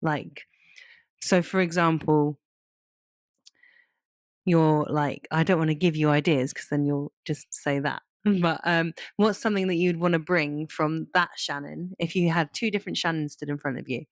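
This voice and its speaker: A woman's voice: low-pitched, fairly even intonation, moderate pace, no echo, studio quality. A woman co-hosting a podcast, chatting casually in an informal, rambling way. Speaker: podcast co-host.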